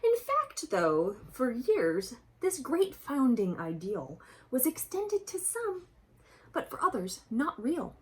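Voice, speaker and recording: Animated woman reading to children. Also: high-pitched, slow pace. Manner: expressive, explanatory, addressing young listeners directly. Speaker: children's book reader and presenter. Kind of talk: picture book read-aloud with chatty asides to children.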